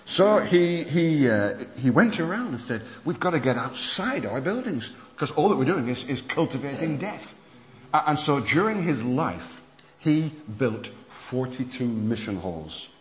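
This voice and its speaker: A man preaching at a conference, telling church history stories in an animated way with many restarts. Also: medium pitch, measured pace, a little hiss or hum. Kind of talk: sermon lecture on church history. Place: conference hall. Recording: muffled hall recording with long reverb.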